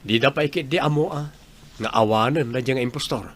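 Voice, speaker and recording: A male actor plays an earnest, helpful village man in a radio drama. His mid-pitched voice has a northern Philippine accent. He speaks in a conversational way. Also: brisk, natural voice. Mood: upbeat.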